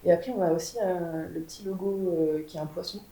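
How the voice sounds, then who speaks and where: A woman's voice: steady tone, fast talker, warm tone. A young woman, a band's guitarist, telling the band's story in a casual interview with many fillers. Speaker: guitarist in a band. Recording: echoey room with faint rumble.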